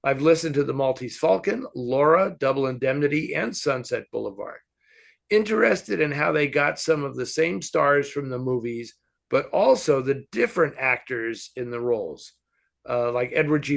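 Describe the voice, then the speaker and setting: Gravelly-voiced man hosting a film chat. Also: mid-range voice, expressive, moderate pace. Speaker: host answering fan questions. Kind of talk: casual film discussion.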